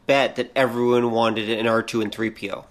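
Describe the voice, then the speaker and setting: A man's voice: mid-range voice, flat delivery, moderate pace. A middle-aged man co-hosting a podcast in a casual, conversational style. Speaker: podcast co-host.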